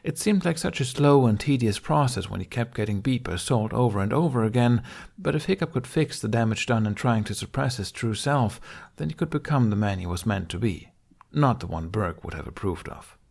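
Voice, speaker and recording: A man's voice: mid-range voice, lively intonation, fairly quick, gravelly, a little mellow. A man reading a fantasy adventure story aloud as a scripted audiobook narration.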